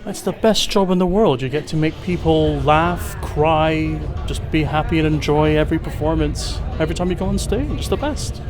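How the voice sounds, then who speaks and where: A man with a slightly high voice answers interview questions with upbeat enthusiasm. He is a pantomime actor. Music plays clearly behind him. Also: normal speed, hardly any pauses, crowd noise.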